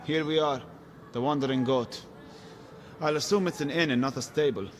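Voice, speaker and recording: A man's voice: fairly high pitch, moderate pace, lightly acted. Guy doing wary adventure game sidekick, dry and wry, big reverb, music going behind him. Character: male travelling companion guiding a princess, wary and wry. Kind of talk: video game voice acting.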